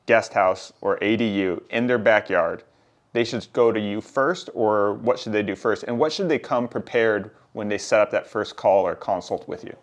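Middle-aged man, lowish voice, conversational interviewer, office meeting room. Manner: conversational, summarizes and checks points. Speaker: channel host interviewing a builder. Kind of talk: casual interview.

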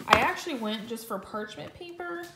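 A woman's voice: high voice, animated, fairly quick. Chatty woman vlogging in an echoey kitchen. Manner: chatty, enthusiastic, lots of "like" fillers. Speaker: lifestyle vlogger. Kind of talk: casual chatty vlog talk to camera. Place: home kitchen. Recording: echoey room with faint noise.

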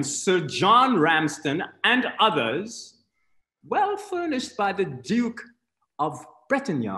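A man playing a plotting nobleman, echoey. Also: heavily acted. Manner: declaiming, lively pitch. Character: Northumberland, scheming middle-aged nobleman. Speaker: actor in a staged reading. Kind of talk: Shakespeare reading on video call. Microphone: video call webcam.

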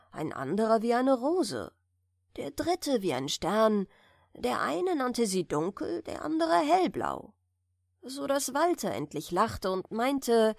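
A woman gently narrating a bedtime fairy tale in a soft, soothing manner. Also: fairly high pitch, gravelly, dry recording, studio quality. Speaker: audiobook reader.

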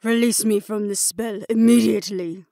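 A woman's voice: mid-range voice, steady tone, moderate pace, dark tone, lightly acted. A woman voicing an ancient, haughty vampire elder, formal and scornful, in an audio roleplay. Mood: furious.

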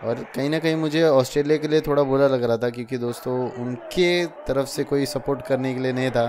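A man's voice: mid-range voice, brisk, background noise. A young man narrating his daily vlog in a chatty voice-over, often addressing viewers as friends. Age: young adult. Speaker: vlogger.

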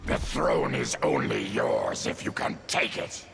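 An actor playing a savage, defiant monster warlord in a video game, with a growling, blunt, menacing delivery. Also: male voice, a little slow, exaggerated voice. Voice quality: deep gravelly growl. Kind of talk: video game character dialogue. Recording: loud noise behind voice.

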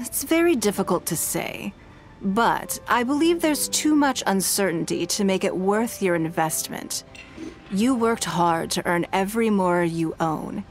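A woman voicing a poised, wealthy businesswoman in a video game, speaking in a measured, composed and authoritative way. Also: medium pitch, natural voice.

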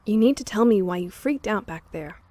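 A woman voicing a calm, caring, gently insistent campmate in a fan audio drama, earnest and soothing. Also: mid-range voice, fairly quick, dry recording, natural voice. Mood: gentle insistence.

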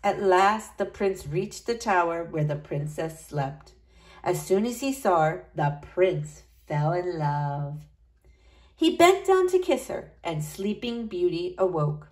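A woman's voice: fairly low pitch, lively intonation, takes it slowly, a little mellow. A woman reading a storybook aloud to young children, expressively, in the manner of a school librarian.

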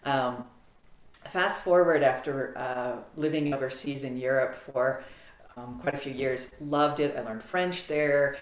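Woman, middle-aged, from the US, animated storytelling, home office call, echoey audio. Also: very deep voice, unhurried. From United States. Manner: animated, clear, frequent ums. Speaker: podcast guest. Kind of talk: casual interview storytelling. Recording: roomy video-call audio.

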